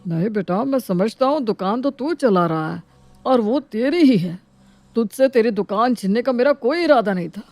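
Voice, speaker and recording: A woman's voice: a little high, animated, moderate pace, darkish tone, no echo. Placating old father, acted by a woman, over music. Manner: placating, apologetic.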